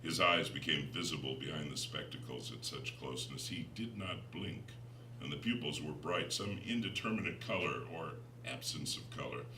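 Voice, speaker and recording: A man's voice: extremely low pitch, normal speed. A man giving a dramatic literary narration, reading from a gothic novel as its author. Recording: roomy, distant, noisy recording.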